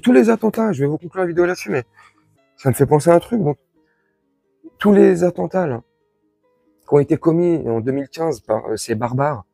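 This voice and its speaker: A man from France with a husky voice, giving an informal, crude and sarcastic opinion rant to his followers as an online commentator. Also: a little high, warm tone, dry recording, clean studio sound. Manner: crude, slangy, sarcastic, indignant.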